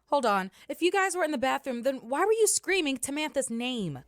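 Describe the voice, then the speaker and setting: A woman's voice: high voice, sing-song, brisk, no room sound, clean studio sound. A woman playing an exasperated, doubtful college student in an amateur radio play, with a sarcastic edge.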